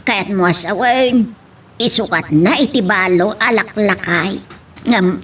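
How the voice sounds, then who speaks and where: An Ilocano woman acting a village woman in a romance drama, with an expressive, questioning manner. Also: mid-range voice, takes it slowly, soft background music. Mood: concerned.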